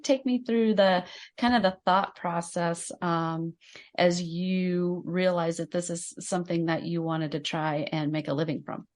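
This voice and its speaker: A woman in her thirties hosting a small-business podcast, chatty and thinking aloud with fillers. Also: fairly low pitch. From Chicago area, United States. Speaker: podcast host. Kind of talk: interview podcast.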